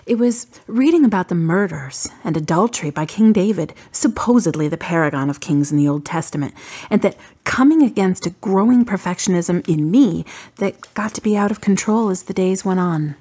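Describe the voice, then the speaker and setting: An actress playing a wry, guarded, stubborn daughter in a radio drama, with a husky voice and a deliberate, dry delivery. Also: female voice, fairly low pitch, animated, dark tone. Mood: bitter.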